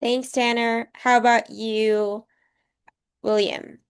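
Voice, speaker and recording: A woman's voice: a little high, level tone, slow, smooth. A woman coordinating an online group meeting, casually leading everyone through introductions over a video call. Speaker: program coordinator.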